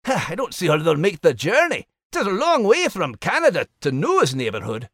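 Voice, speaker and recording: A man's voice: high-pitched, huge pitch swings, normal speed, fairly bright tone, no room sound, full character voice. A man playing a folksy, forgetful, jolly story host with a Scottish accent. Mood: jolly, bemused. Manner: folksy, chuckling. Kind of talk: scripted podcast character hosting.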